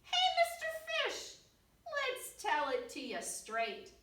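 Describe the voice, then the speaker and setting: A woman voicing a blunt male octopus, high, brash and teasing. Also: wildly swooping pitch, slow, sharp tone, heavily acted. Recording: echoey room, distant mic.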